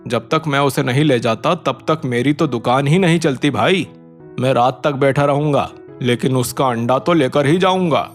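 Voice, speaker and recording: A man's voice: medium pitch, normal speed, soft background music, lightly acted. A man voicing a greedy, insistent village merchant in a cartoon, talking in a pushy, familiar way.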